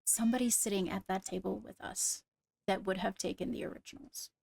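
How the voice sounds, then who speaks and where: A woman playing a guarded young royal in an improvised Minecraft roleplay, speaking in a measured, deliberate way as she reasons aloud. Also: mid-range voice, fairly even intonation, gravelly, a little mellow, a little hiss or hum. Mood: serious, deliberating.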